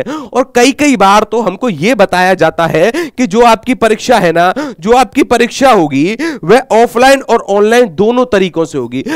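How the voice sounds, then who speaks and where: A North Indian man lectures students as an exam coach in a high, clear voice. He drives points home by repeating them and posing short questions that he answers himself. The sound is dry and close. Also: no echo. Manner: emphatic, repeats phrases and asks himself questions.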